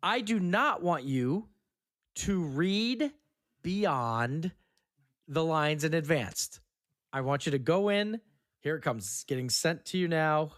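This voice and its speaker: A man's voice: a little high, expressive, slow, a bit bright. A man hosting a podcast, moving between casual chat and scripted scene narration.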